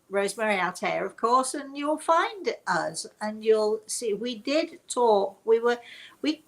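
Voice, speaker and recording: A woman's voice: medium pitch, creaky, fairly bright tone, rough recording. An older woman with a British accent, hosting a casual, chatty online talk and rambling from one story to the next. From Britain. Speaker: host. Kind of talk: casual chat to online viewers.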